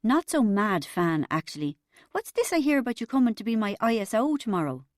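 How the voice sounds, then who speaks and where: A woman's voice: fairly high pitch, sing-song, fairly quick, no room sound, clean studio sound. A woman voicing a sulky, indignant young female patient in an audiobook, with a rather childish manner. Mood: falsely friendly, wary. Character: self-pitying, sulky young woman in treatment. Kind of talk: audiobook character dialogue.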